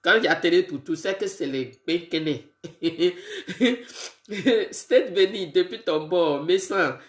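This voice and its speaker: A woman who has a bad cold, greeting her viewers one by one on a casual live video and talking in a halting way with many fillers. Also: on the low side, very animated, roomy sound. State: congested with a cold, sniffly and tired. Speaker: political live streamer.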